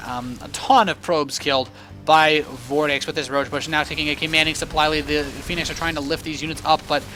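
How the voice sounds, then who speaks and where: A man giving live, animated and joking play-by-play commentary on a video game match as an esports caster. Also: fairly high pitch, brisk, noisy background.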